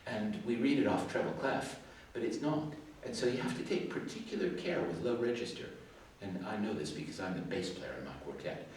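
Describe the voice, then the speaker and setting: A man's voice: fairly low pitch, expressive, fairly quick, hardly any pauses, a little mellow, slight background noise. An older man with a Suffolk English accent tinged by Canada, giving chatty, digressive feedback as a competition adjudicator in a school music hall. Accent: Suffolk English with Canadian traces. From England, early life in Canada. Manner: chatty and digressive, self-deprecating asides. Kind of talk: spoken feedback to performers. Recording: very echoey hall, distant mic.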